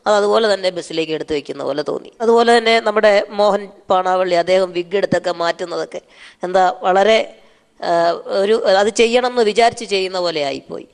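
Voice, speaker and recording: A man speaks as a judge on a comedy show. His voice sits at a medium pitch, and he delivers his critique off the cuff, pausing and searching for the next phrase. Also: creaky. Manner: halting, searching for words. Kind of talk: unscripted judging feedback.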